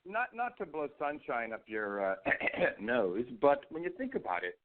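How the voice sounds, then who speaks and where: A man's voice: a little high, wildly swooping pitch, measured pace, a crowd in the background. A man with a head cold hosts a radio show in casual, profane, joking chat; he sounds snotty. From US East Coast. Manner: chatty, profane, jokey. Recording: phone-like lo-fi audio.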